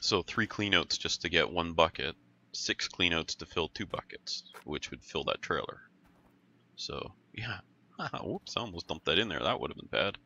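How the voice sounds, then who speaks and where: Low stuffed-up man narrating gameplay. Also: expressive, normal speed, sharp tone, highs cut off, a little hiss or hum. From Canada. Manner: rambling, self-correcting, thinking aloud. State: stuffy, growly voice. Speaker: gaming channel host. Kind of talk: casual let's-play commentary.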